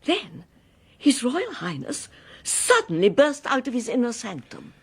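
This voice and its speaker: Radio drama: English governess, kindly and easily flustered, very husky voice with lively swoops, earnest and well-mannered. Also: female voice, fairly high pitch, measured pace, full character voice. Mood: earnest, urgent. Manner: earnest, fluttery, polite.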